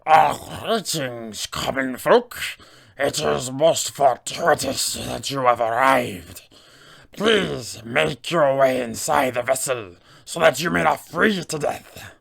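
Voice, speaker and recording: Tabletop game villain: tiny alien mind flayer, heavy croaking fry, unhurried, cold, stiffly formal and ominous. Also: male voice, medium pitch, a bit bright, exaggerated voice. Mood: courteous, eerie. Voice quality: gravelly, creaky. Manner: slow, stilted, formal speech. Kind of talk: game villain roleplay monologue.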